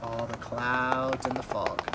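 A young adult man reads a picture book aloud for children in an expressive way, at a medium pitch. He speaks directly to his young listeners between passages. The recording is made outdoors on a camera, with clear background noise. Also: slow pace. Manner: expressive, asks the listener questions. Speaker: storybook reader. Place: outdoors by a wooden fence. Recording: clearly noisy outdoor ambience.

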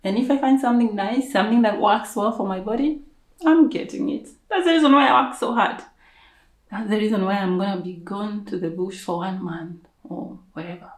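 A woman's voice: medium pitch, brisk. A young woman with a Kenyan accent, chatting playfully and gushing to camera in her living room, recorded on the camera's own mic from a few feet away. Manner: playful, gushing, self-hyping. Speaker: vlogger. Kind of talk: casual chat to camera. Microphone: camera mic at a distance. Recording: echoey room.

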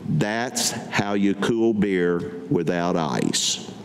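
An older man giving a staged poetry reading at a podium, deliberate and pausing between short phrases. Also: low voice. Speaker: veteran reading his own writing. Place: indoor meeting room at a podium. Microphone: podium gooseneck microphone. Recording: echoey hall with audible room noise.

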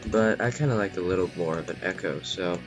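Low-pitched man over guitar music. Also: brisk. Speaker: tutorial host. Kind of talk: casual software tutorial. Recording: poor home recording, music behind. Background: plucked guitar music.

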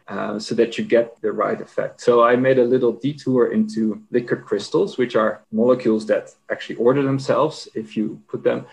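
A man's voice: mid-range voice, gravelly, a little mellow, rough recording. A man with a Dutch accent, a company managing director talking casually as an interview guest, explaining with many fillers. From Netherlands.

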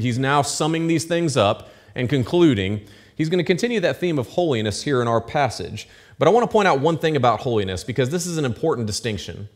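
A man in his thirties, a pastor giving a sermon from a church pulpit. He explains the text in a relaxed, conversational way, with asides and quick checks that the congregation is following. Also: medium pitch. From United States. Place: church stage with pulpit, indoors. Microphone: lectern mic at pulpit.

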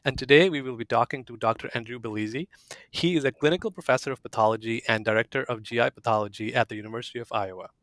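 A man's voice: medium pitch, fast, a bit bright, no echo. A man in his thirties hosting a podcast, giving a formal introduction and asking questions over a headset on a video call. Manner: formal, reads an intro smoothly. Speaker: podcast host, pathology fellow. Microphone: headset mic on a video call.

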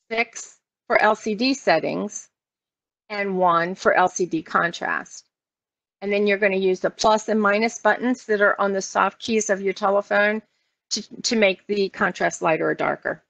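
Creaky-voiced American woman giving step-by-step training. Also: medium pitch, a little slow, a bit bright, no echo. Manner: instructional, explanatory. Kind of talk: step-by-step software and phone training walkthrough. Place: online meeting screen-share, voice only. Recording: dull lo-fi online meeting audio.

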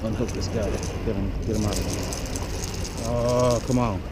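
A man's voice: lowish voice, fairly even intonation, a little slow. A man casually narrating his fishing from a rocky harbor shore, muttering to himself as he reels in fish, recorded on a head-mounted camera. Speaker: fishing vlogger. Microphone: head-mounted action camera. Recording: outdoor wind and water noise.

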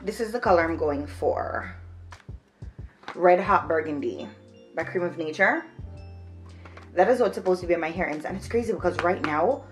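Woman, 20s, from Jamaica, casual vlog chat, low-ish voice, camera mic a few feet off, faint music behind. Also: normal speed, long pauses. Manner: chatty, explains step by step while working with her hands. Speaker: vlogger. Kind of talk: casual how-to vlog talk. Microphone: camera on a shelf a few feet away.

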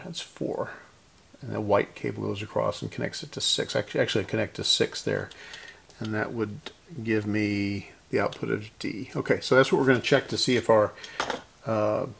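Guy with a really creaky low voice talking thru a repair step by step, thinking out loud, casual. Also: steady tone, normal speed, slight background noise. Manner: methodical, thinking aloud, counting off. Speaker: host of a radio repair channel. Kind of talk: casual hands-on repair narration.

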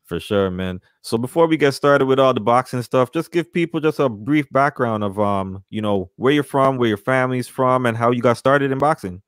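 A young man co-hosting a boxing podcast, relaxed and dropping "man" into his lines, on a large studio mic. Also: mid-range voice, moderate pace, few pauses, clear, resonant tone, dry recording. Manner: relaxed, says "man" often. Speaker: co-host. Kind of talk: podcast interview. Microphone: large studio mic with headphones.

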